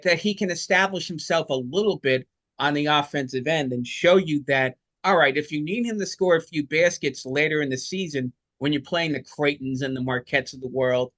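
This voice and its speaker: A man's voice: fairly high pitch, a bit bright. A man co-hosting a sports podcast, giving conversational basketball analysis in an emphatic, explanatory way.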